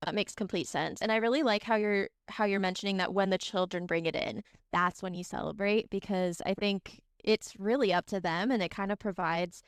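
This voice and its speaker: A woman hosting an interview podcast, calm and affirming as she reflects and asks questions. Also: mid-range voice, fairly quick, hardly any pauses, clear, resonant tone, dry recording, clean studio sound. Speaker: podcast host.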